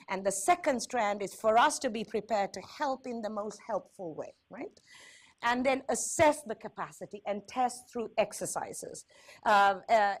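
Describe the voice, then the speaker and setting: A woman with a Sri Lankan accent presenting at a conference, candid and wry, stressing points with repetition and checking in with short tag questions. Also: a little high. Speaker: health agency speaker, risk communication expert.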